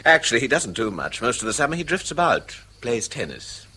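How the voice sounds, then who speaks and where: Nervy people-pleasing husband, hesitant, bright tense voice, pitch all over, radio play. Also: male voice, very animated, a little fast, a little hiss or hum. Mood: defensive. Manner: hesitant, repeats and breaks off sentences. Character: anxious, apologetic husband, eager to please. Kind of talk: British stage-style radio drama acting.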